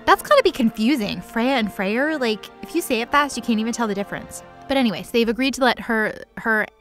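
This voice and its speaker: A woman's voice: on the high side, expressive, brisk. A woman giving a casual, improvised comedic recap of a story in sarcastic, valley-girl phrasing. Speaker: recap narrator. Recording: music under the voice.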